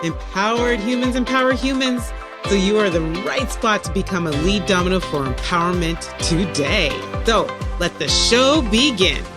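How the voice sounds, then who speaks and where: A woman hosting a podcast, introducing her guest in a bubbly, laughing, animated way with a husky voice. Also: mid-range voice, moderate pace, over music. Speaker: podcast host. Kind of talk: energetic interview intro.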